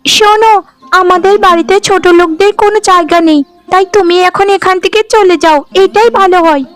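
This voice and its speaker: A woman's voice: very high-pitched, steady tone, a little fast, faint music behind. A woman voicing a spiteful, bossy young sister-in-law in a cartoon, scolding and taunting haughtily. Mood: indignant. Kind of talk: Bengali cartoon dialogue acting.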